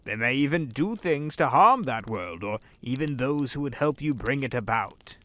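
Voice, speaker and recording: A man's voice: mid-range voice, lively intonation, normal speed, sharp tone. An actor playing the Devil disguised as a genial older businessman, with pompous, theatrical bluster in a fan audio drama. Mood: grandly persuasive.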